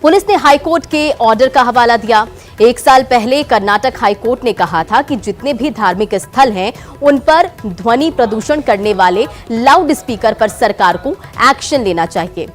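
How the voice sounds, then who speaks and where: A woman anchors a television news segment in a distinctly high voice. She reads scripted explanations as a presenter does, over a faint music bed. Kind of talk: scripted news narration with live anchor hand-off.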